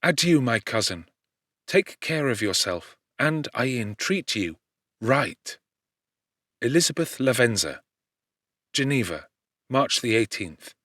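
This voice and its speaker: A man narrating an audiobook with an expressive, measured storytelling delivery, recorded close to a studio microphone. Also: mid-range voice, dry recording. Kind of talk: scripted literary narration.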